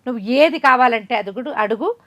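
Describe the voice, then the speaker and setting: A just and generous king, as a woman storyteller puts him on, speaking calmly and with assurance. The voice is pitched a bit lower and softer than the teller's own talk, a gentle royal promise in a spoken folk tale. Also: fairly quick. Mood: assuring. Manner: calm, assuring.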